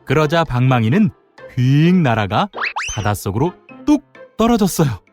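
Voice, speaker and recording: Animated male children's storyteller over music. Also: medium pitch, normal speed. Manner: animated, dramatic with playful sound words. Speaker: storyteller, narrator. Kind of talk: scripted children's folktale narration. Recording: music behind the voice.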